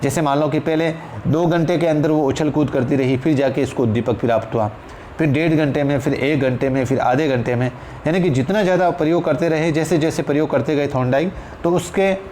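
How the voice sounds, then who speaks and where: A man's voice: on the high side, a little fast, a little mellow. Male Indian teacher with noisy background. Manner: repeats phrases for emphasis, addresses listeners as friends. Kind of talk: exam-prep lecture, explanatory. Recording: clear background noise.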